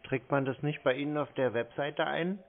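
A man's voice: a little high, moderate pace, gravelly. Terse young man on a dull call. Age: 20s. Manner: terse, feigning confusion, provocative. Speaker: prank caller baiting phone scammers. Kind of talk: casual phone conversation. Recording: dull band-limited call recording.

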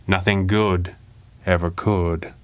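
A man reading a pulp adventure story as a dramatic, theatrical and expressive narrator. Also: low-pitched, slow pace, smooth. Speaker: audiobook narrator.

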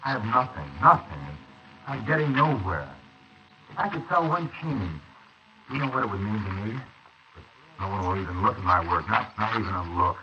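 Struggling artist male actor, anxious idealist, halting and haunted delivery, vintage radio play, muffled and clearly noisy. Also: on the low side, lively intonation, close to an everyday voice. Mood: despairing. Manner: jittery, brooding, halting delivery. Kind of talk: 1940s radio acting. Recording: noisy, muffled 1940s wire recording.

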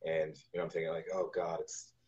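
Male interview guest, 40s, very deep voice, relaxed storytelling style, lo-fi call sound. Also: quick pace. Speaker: guest, video game composer. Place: home room on a video call. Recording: dull lo-fi video call audio.

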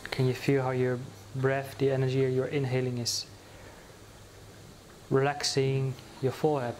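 A man guides a meditation in a slow, soothing voice that stays almost perfectly level in pitch. He pauses often and restarts his phrases as he leads the listener along. A faint hiss of noise sits under the recording. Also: monotone, darkish tone.

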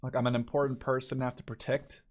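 A man's voice: mid-range voice, flat delivery, fast talker, muffled recording. A young man giving casual, informal let's play commentary on a game. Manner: informal, sweary. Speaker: gaming video creator.

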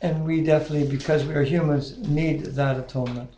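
Mellow older North American man, echoey room. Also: fairly high pitch, steady tone, a little fast, dull audio, a little hiss or hum. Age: 60s. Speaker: co-host of a home Bible reading. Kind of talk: reading scripture and praying aloud. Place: living room, indoors. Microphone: webcam at about arm's length. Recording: echoey living room webcam audio.

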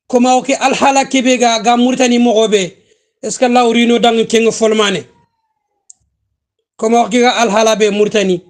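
A man hosting an interview, speaking in a slow, halting way with fillers. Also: high voice. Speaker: host. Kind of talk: casual interview talk.

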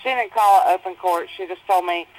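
A woman's voice: on the low side, steady tone, fast. A woman speaks over a telephone line with a faint hiss of noise behind her. She stays patient and reassuring, explaining things steadily and soothing her daughter. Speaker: mother of the inmate. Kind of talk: phone call with her jailed daughter.

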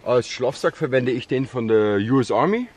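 A man's voice: mid-range voice, lively intonation, normal speed, darkish tone. A man explaining his camping setup in a matter-of-fact way with some hesitant fillers, outdoors in the woods. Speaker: camper showing his gear. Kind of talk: casual explanation. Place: outdoors under a tarp in woods.

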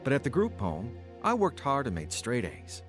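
A man's voice: mid-range voice, huge pitch swings, moderate pace, music in the background. An actor playing a remorseful man, earnestly narrating his troubled, drug-addicted youth in a radio drama. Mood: reflective. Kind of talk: scripted first-person radio drama narration.

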